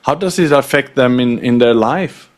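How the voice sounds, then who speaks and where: Polite, measured man hosting a podcast. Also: mid-range voice. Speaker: podcast host. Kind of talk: interview hosting.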